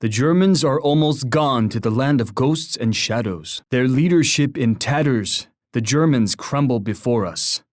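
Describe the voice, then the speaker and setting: An actor playing a grave, poetic war chief in a video game, speaking in a formal, oratorical manner. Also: male voice, medium pitch, expressive, a little slow, hardly any pauses, no echo, clean studio sound, lightly acted. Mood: stern pride. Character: solemn Native war chief, stern, poetic male leader. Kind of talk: theatrical video game character lines.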